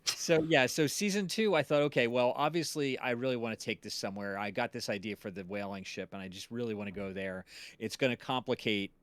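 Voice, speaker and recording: A man's voice: medium pitch, brisk, no room sound. Man explaining his show, dry close sound. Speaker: audio drama creator and stream host. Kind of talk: casual chat about their own show.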